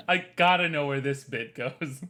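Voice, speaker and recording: Co-host, young man, twenties; bright forceful voice, loose crude banter, quick to laugh. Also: fairly high pitch, animated. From Florida. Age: 20s. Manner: laughs often, crude and joking. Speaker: podcast co-host. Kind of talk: casual podcast banter.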